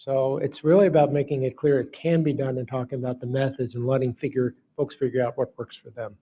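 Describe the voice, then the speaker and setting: Husky man giving an informal keynote. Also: medium pitch, little pitch movement, quick pace. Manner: informal, folksy, speaks in short phrases. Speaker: keynote speaker. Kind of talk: conference talk with audience questions. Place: live talk to an audience. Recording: muffled lo-fi hall recording.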